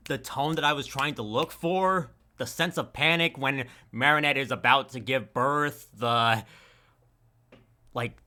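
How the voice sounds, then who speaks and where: A man's voice: mid-range voice, a little slow, bright, forceful tone. A man talking casually as an audio editor looking back on his work. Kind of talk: casual retrospective discussion.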